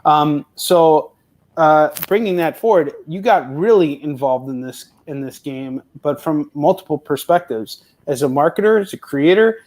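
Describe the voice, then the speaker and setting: A man hosting an interview, affirming his guest and building long questions out loud. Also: on the high side, expressive, a little slow. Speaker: podcast host. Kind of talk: casual interview talk.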